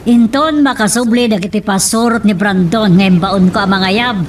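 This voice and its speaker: A woman's voice: mid-range voice, moderate pace, faint music behind, lightly acted. A woman playing a bossy, haughty mistress of a wealthy estate in a radio drama. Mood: commanding. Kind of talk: Ilocano radio drama acting. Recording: distant mic with faint background noise.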